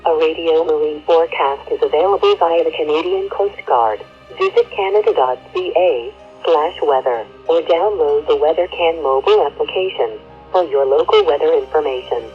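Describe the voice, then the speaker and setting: A woman's voice: deep voice, sing-song, moderate pace, soft background music. A synthetic-sounding woman reading a formal recorded public announcement on a weather radio service. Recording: muffled, lo-fi radio broadcast.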